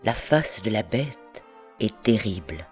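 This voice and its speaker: Female poetry reader, very unhurried, measured and deliberate, with music underneath. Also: rumbling low, expressive. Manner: measured, deliberate phrasing with pauses. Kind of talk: slow, literary poetry recitation.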